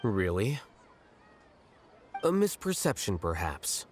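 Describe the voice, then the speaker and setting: A man's voice: on the low side, very animated, slow. A man playing an aloof, rational young scholar in an anime game, terse and detached. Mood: cool, curt. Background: music.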